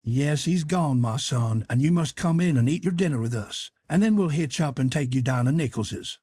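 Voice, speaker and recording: A man reading a story aloud, voicing a courteous old gentleman. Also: a little high, animated, moderate pace, breathy edge, no echo, studio quality.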